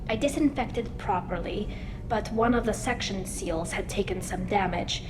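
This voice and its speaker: A woman's voice: mid-range voice, normal speed, background noise, natural voice. A woman voicing an earnest young alien starship engineer in a video game, explaining things in a chatty, self-deprecating way. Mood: reassuring, sheepish.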